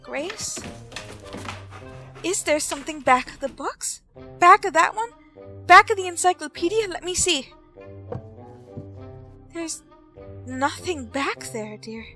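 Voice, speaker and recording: A woman playing a wife with cold vengeance beneath genteel manners, in theatrical, deliberate 1940s radio drama style. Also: very high-pitched, bright, forceful tone, exaggerated voice. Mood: anxious, coaxing. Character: frightened wife turned coolly vengeful, chanting a spell.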